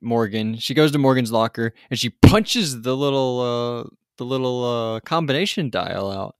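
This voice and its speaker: A man's voice: medium pitch, lively intonation, moderate pace, dry recording, studio quality. A man co-hosting a podcast, recapping in a loose, rambling, informal way. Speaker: podcast co-host. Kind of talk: casual film and TV recap chat.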